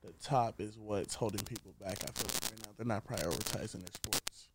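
A man's voice: fairly low pitch, fairly quick, heavy background noise. A nineteen-year-old man, an athlete chatting casually and informally as a podcast guest. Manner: slangy, informal. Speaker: podcast guest, college football wide receiver. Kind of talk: casual chat. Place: inside a truck.

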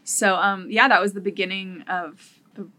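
A woman's voice: medium pitch, fairly even intonation, moderate pace, bright, forceful tone. A woman from Los Angeles, a former TV producer, telling stories in a casual studio podcast chat, with many fillers. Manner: chatty, fillers and storytelling. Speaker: guest, former executive producer.

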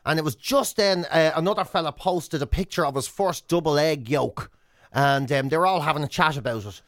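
An Irish man hosting a breakfast radio show, bantering in a mock-earnest, deadpan comic way. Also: a little high, fairly quick, no echo, clean studio sound. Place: radio studio.

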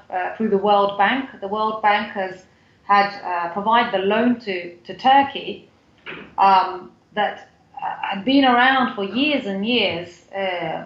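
A woman's voice: mid-range voice, reverberant, highs cut off. A woman from the UK with a British accent, a guest on a podcast interview, talking in a chatty way with many fillers and restarts. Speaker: guest, foundation CEO.

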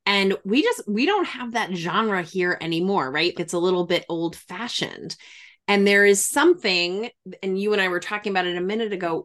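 A woman's voice: on the low side, fairly bright tone. A woman hosting an interview in a casual, chatty, digressive way. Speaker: interviewer, podcast host.

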